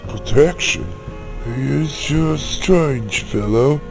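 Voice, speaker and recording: A man's voice: mid-range voice, slow, creaky. A man playing a casual, curious, slightly hesitant shopkeeper in an amateur audio drama. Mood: puzzled. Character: casual, curious shopkeeper handing over odd supplies. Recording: basic home recording, loud music behind.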